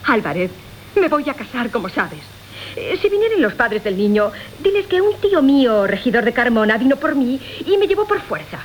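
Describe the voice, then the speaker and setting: A woman's voice: on the high side, big pitch swings, moderate pace, rough recording. A woman playing a scheming, wheedling coquette in a classical radio comedy. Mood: conspiratorial. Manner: theatrical, wheedling. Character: scheming, coquettish woman Bárbara, duping her lovers. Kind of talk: Spanish classical comic radio theatre acting. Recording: hissy 1980 radio broadcast.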